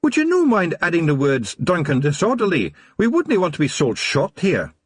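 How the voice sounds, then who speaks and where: A man voicing a small, wild Scottish fairy chief, a cheeky rogue with a hoarse, whispery voice and a boisterous manner. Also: on the high side, huge pitch swings, moderate pace, no echo, pitched above the performer's own voice.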